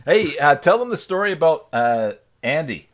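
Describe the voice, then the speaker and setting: A man's voice: on the high side, sing-song, normal speed. A man hosting a casual live group chat over a video call in a joking manner. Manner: joking, chuckling. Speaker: host of a live stream.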